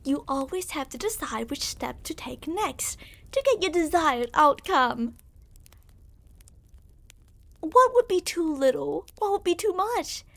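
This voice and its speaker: A woman's voice: extremely high pitch, big pitch swings, takes it slowly, long pauses, full character voice. A woman playing a smug, menacing yandere royal captor in an ASMR roleplay, speaking in a theatrical, condescending, mock-affectionate way. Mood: coolly menacing.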